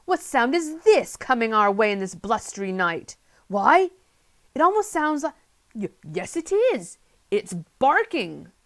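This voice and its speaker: A woman's voice: fairly high pitch, very animated, measured pace, creaky, pushed and bright, heavily acted. Woman putting on a theatrical old man. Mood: dramatic. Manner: theatrical, formal exclamations. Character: old-fashioned, polite old male traveler.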